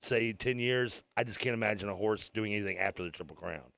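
A man's voice: on the low side, a little fast, vocal fry, fairly bright tone, dry recording. A man co-hosting a radio sports talk show, joking and rambling with frequent stumbles and restarts.

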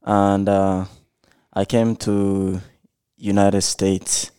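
A man's voice: fairly low pitch, completely flat delivery, drawn-out words, dark tone, clean tone, no echo, studio quality. A man in his twenties from Somalia, a podcast guest giving hesitant, short answers with many uhs. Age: 20s. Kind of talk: casual interview answers.

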